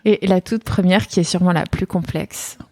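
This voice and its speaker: Woman interviewer, lowish mellow voice, friendly, no room echo. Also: fairly even intonation, moderate pace. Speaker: podcast host. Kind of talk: podcast interview questions.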